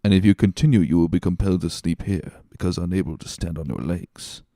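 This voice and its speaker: A man voicing a scheming, smooth, calculating villain in a dramatic reading, sly and emphatic. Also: deep voice, brisk, warm tone, no echo, studio quality. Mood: scornful.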